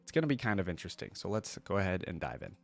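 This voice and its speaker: Low-pitched man, casual reaction host. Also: sing-song, racing, creaky, faint music behind. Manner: informal, chatty, exclamatory.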